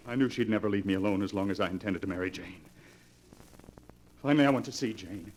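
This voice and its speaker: An actor playing a haunted, fearful young bridegroom confessing intensely, in 1940s radio melodrama style. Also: male voice, fairly low pitch, quick pace, darkish tone, close to an everyday voice. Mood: haunted, desperate. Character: haunted, fearful young bridegroom recounting his torment to a doctor.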